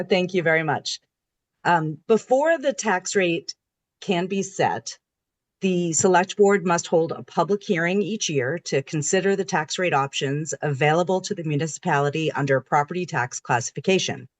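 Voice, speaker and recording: A woman who is hoarse from a cold, speaking on a video call as a town assessor and walking through a formal presentation in a methodical, explanatory way. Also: fairly low pitch, moderate pace, no echo. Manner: methodical, explanatory, reading from slides. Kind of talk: formal public meeting presentation.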